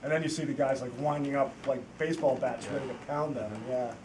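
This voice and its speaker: A filmmaker on a festival panel answering audience questions in earnest, rambling sentences full of restarts. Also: male voice, medium pitch, fairly even intonation, fast. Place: festival screening hall. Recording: distant mic with noisy room.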